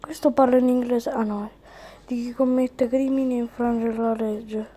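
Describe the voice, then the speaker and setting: A woman with a fairly high voice gives casual game commentary. She is chatty, slipping between her own remarks and reading the game's text out loud. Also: steady tone, moderate pace. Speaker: gaming video host.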